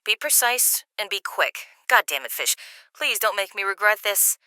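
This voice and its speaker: Audio drama role: hard, terse female handler, played by a woman, exasperated, very bright forceful tone, animated pitch. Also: moderate pace, no room sound. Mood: stern, resigned. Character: hard, exasperated female handler, foul-mouthed but reluctantly helpful.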